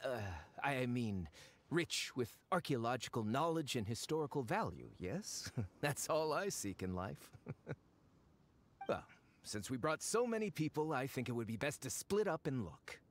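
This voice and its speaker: An actor playing a greedy, self-important fake archaeologist in an anime game, pompous, hedging and excitable. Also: male voice, medium pitch, unhurried. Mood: eager, covering up. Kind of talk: anime video game voice acting.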